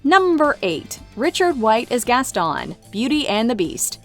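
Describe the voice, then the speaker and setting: A woman's voice: on the high side, very animated, unhurried, loud music behind the voice. A woman hosting a countdown video with scripted narration.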